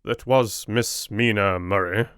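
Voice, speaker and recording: A man's voice: lowish voice, fairly even intonation, takes it slowly, fairly bright tone, clear, resonant tone, dry recording, clean studio sound. A man voicing a courtly, earnest older foreign doctor with formal, quaint phrasing, as in an audiobook. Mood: grave, courteous. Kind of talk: audiobook character dialogue.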